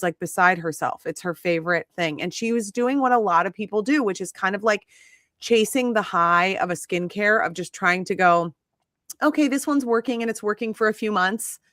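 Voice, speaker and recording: A woman's voice: mid-range voice, normal speed, a bit bright, dry recording, studio quality. A woman hosting a live skincare stream, chatty and enthusiastic. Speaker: host.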